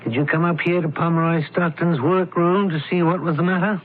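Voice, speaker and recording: An actor playing a formal, probing, commanding criminologist in a radio mystery drama. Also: male voice, fairly high pitch, fairly even intonation, fairly quick, lightly acted. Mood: inquisitive. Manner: formal, precise questioning. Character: male criminologist and investigator, probing, commanding, learned. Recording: noisy, tinny old radio broadcast.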